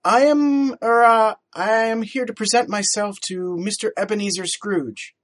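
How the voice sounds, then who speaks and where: A man's voice: high voice, fairly even intonation, a little slow, pitched above the performer's own voice. A man puts on a well-mannered boy with a raised, eager voice. He speaks formally and with some hesitation, careful to stay respectful. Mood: earnest. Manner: polite, formal, slightly hesitant. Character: boy, polite, earnest young nephew.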